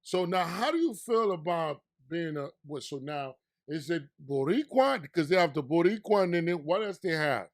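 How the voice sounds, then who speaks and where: A man with a New York accent hosts a laid-back interview in a fairly high voice. He swings from hyped, loud excitement to a low, confiding tone, talking loose and street-casual. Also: normal speed, hardly any pauses. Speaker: podcast host and DJ.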